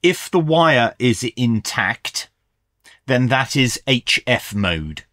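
Low-voiced man presenting a tech review. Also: fairly bright tone, no echo, clean studio sound. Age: middle-aged. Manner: methodical, explanatory. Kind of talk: instructional product review narration.

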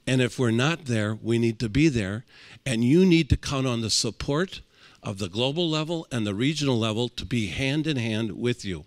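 A man's voice: mid-range voice, normal speed, no echo. A middle-aged man speaking earnestly and emphatically on a panel into a handheld mic. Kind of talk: panel remarks. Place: conference room.

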